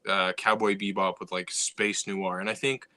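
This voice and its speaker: Bright-voiced reflective man. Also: sits low, level tone, normal speed, no echo. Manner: thoughtful, reflective, uses "you know" and "like". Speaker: writer and co-host. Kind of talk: casual podcast chat.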